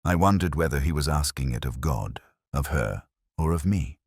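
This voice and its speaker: Male narrator for literary detective fiction: extremely low voice, expressive swings in pitch, dry close sound. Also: breathy edge, no room sound. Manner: measured, literary, melancholy delivery. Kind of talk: scripted first-person audiobook narration.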